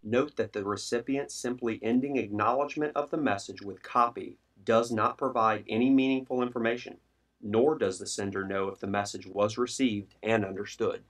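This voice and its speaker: Male narrator, low register, formal and measured, for scripted training material. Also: fairly bright tone.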